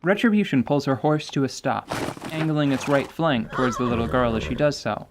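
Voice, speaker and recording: A narrator describing action in a fantasy radio drama with even, descriptive delivery. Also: mid-range voice, brisk, background noise.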